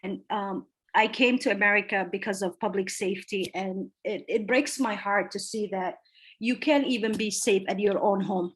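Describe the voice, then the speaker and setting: A woman's voice: medium pitch, steady tone, normal speed, poor audio. A woman in her forties from Somalia, a city council candidate answering interview questions earnestly over a video call. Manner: earnest, emphatic, repeats key phrases.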